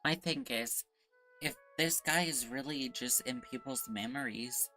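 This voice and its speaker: A woman's voice: rumbling low, measured pace, bright, forceful tone. A woman streamer giving casual live commentary while playing a game. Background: game music.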